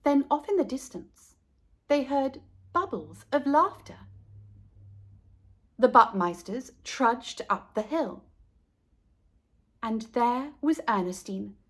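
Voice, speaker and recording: A woman reading a picture book aloud for children in an animated storytelling style. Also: high voice, takes it slowly, long pauses. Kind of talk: animated storybook narration for kids.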